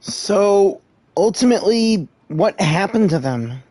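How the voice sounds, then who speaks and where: A man's voice: high-pitched, expressive, takes it slowly, a little mellow, no echo. A man doing casual, conversational live game commentary and reading dialogue along. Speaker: gaming streamer playing along. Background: game music.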